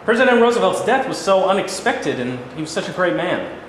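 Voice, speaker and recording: A man's voice: high-pitched, sing-song, fairly quick. A man in a staged reading playing a humble, uneasy newly sworn American president. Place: large room at a live staged reading. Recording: very echoey hall, distant hiss.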